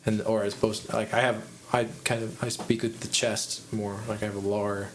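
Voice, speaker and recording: A man with a Midwestern American accent hosts a relaxed podcast chat. He talks in a low, chest-heavy register, wandering through his thoughts with frequent restarts. A faint hiss sits under the recording. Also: brisk. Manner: rambling, restarts, thinks aloud. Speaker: podcast host, musician. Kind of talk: casual chat.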